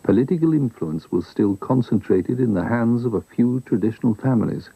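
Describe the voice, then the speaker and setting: A man reading scripted documentary narration in a measured, formal manner. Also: mid-range voice, animated, creaky, dark tone, breathy edge, no room sound, poor audio.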